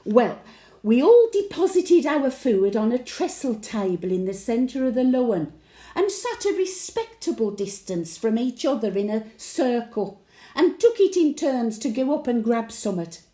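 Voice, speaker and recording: A woman's voice: fairly high pitch, very animated, a little slow, mellow, roomy sound. A woman playing a cheeky, wistful elderly market-trader widow with a Birmingham accent, telling her tales in a chatty, colloquial audio monologue. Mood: chatty, reflective. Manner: colloquial storytelling with dry chuckling asides. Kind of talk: audio monologue, chatty diary addressed to late husband.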